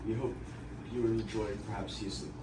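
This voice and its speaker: A man's voice: fairly low pitch, level tone, fast. A young man giving a theatrical, emphatic narration of scripture, projecting his voice as in a drama. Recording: echoey room from far off, noisy.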